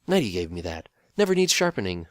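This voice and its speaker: A man's voice: low voice, big pitch swings, fast, dry recording, studio quality. A man voicing a practical, easygoing male handyman pony who talks in a casual, wry and matter-of-fact way.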